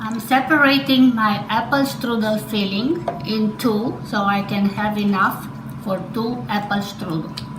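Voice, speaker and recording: A woman's voice: mid-range voice, steady tone, a little slow. A middle-aged woman with a Romanian accent, demonstrating a family recipe at home, talking unhurriedly with fillers and small self-corrections. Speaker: cooking demonstrator. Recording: echoey kitchen with background noise.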